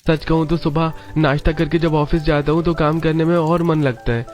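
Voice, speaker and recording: A man's voice: a little high, steady tone, normal speed, darkish tone, close to an everyday voice. A man playing an earnest, supportive young working husband in a cartoon story. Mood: pleased. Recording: dull lo-fi track with music.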